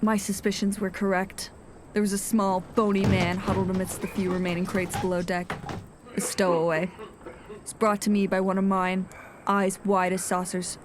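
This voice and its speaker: A woman playing a grave nineteenth-century ship's captain, reading out a log in a formal, measured way for an audio drama. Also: medium pitch, darkish tone, a little hiss or hum, lightly acted. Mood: stern, suspicious. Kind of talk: scripted audio drama narration. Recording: music under the voice.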